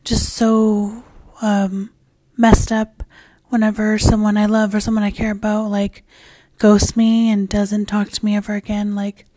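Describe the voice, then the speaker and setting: A woman hosting her own podcast in a casual, rambling solo chat, her voice raspy and hoarse as she loses it, with plenty of fillers and restarts. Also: mid-range voice, completely flat delivery, unhurried, few pauses, warm tone, dry recording. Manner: swears casually. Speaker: podcast host.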